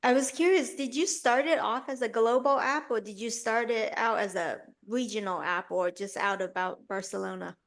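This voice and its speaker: A woman's voice: fairly high pitch, moderate pace, few pauses. A woman hosting a podcast interview, affirming and curious as she asks questions. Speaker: podcast host. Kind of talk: interview chat.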